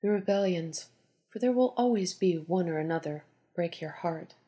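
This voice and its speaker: A woman playing a poised, pragmatic Egyptian goddess queen and oracle in an audio drama, with measured, formal delivery. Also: fairly low pitch, mellow, natural voice. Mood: sympathetic. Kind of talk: dramatised audio story reading.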